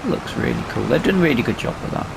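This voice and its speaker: A man's voice: medium pitch, animated, fast talker, vocal fry, noisy background. A man giving casual let's play commentary on a video game, muttering to himself as he plays. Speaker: gaming video host.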